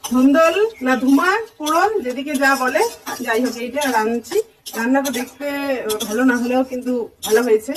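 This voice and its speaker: A woman with a high voice talks in a casual vlogging and tutorial style. It is an amateur recording with faint background noise. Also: a little fast. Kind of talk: casual tutorial and vlog talk.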